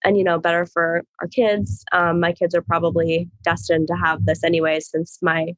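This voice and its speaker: A woman guest, hoarse from being sick, talking in a rambling way with many fillers. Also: fairly low pitch, little pitch movement, normal speed, dry recording, low-quality recording. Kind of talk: casual chat.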